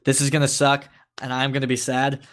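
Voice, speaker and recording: A man's voice: mid-range voice, monotone, brisk, fairly bright tone. A man co-hosting a podcast, talking in a casual, conversational way about a cartoon. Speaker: podcast co-host.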